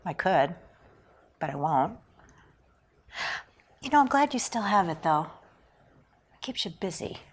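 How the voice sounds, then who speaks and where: A woman's voice: lowish voice, big pitch swings, a little slow, pauses often, breathy edge, full character voice. A woman playing a needling, sardonic old friend in a drama table read, with a pointed delivery. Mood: sarcastic. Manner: pointed, sarcastic.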